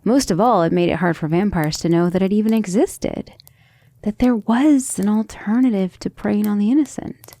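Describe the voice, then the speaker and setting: A woman playing a gentle, earnest, devoted female vampire in an intimate ASMR roleplay, speaking tenderly and a little hesitantly. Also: medium pitch, normal speed, no room sound, natural voice. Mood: earnest explaining. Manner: soft, hesitant, tender, often breathy near-whisper.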